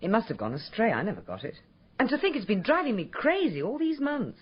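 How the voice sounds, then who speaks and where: Breezy society wife for radio drama: dramatic, self-centred, effusive, breathy-husky and highly animated. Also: female voice, fast, dull audio. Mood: disbelieving.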